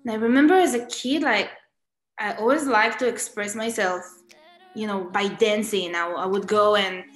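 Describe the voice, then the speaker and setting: A young woman from Israel, Hebrew her first language, speaking English with an Israeli accent, answering interview questions softly with many ums over a video call from her living room. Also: mid-range voice, moderate pace, reverberant, low-quality recording. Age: young adult. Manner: soft-spoken, with frequent ums and laughs. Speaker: guest singer.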